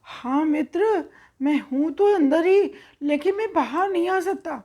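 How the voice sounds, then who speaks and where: A woman voicing a timid yet boastful little male mouse in a children's story, protesting and bragging excitedly. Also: extremely high pitch, unhurried, voice pushed up. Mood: nervous.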